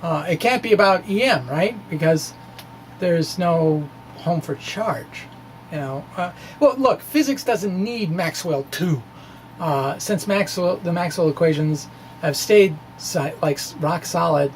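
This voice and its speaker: A man giving an informal explanatory lecture in a halting, conversational way, with asides. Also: a little high, animated, slight background noise. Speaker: physics blogger presenting derivations.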